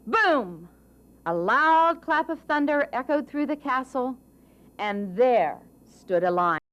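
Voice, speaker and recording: Higher-voiced woman telling a children's story. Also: animated, slow pace, fairly bright tone. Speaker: narrator and presenter of a puppet show. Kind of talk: expressive children's storybook narration.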